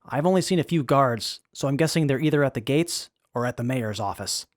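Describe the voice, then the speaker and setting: An actress playing a loyal, brainy machine expert with a matter-of-fact, measured manner in an audio drama. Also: female voice, very deep voice, animated, a little mellow, no room sound, clean studio sound. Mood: calm, earnest. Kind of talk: scripted post-apocalyptic audio drama acting.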